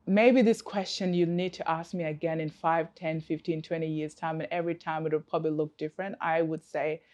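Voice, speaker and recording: A woman's voice: deep voice, warm tone. A woman from Africa, a coach, answering interview questions in an earnest, reflective way with many fillers and restarts.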